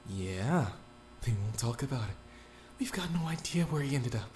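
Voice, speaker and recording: A woman voicing a blunt young man who teases in a flat, sardonic way. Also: rumbling low, normal speed, a little hiss or hum. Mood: teasing.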